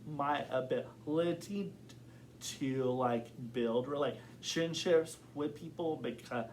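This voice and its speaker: A young man who stutters talks slowly and carefully, repeating words and leaning on fillers as he works through his thoughts. Faint background hiss sits under his voice. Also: mid-range voice, a little mellow. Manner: thoughtful, open. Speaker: interviewee. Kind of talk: casual interview.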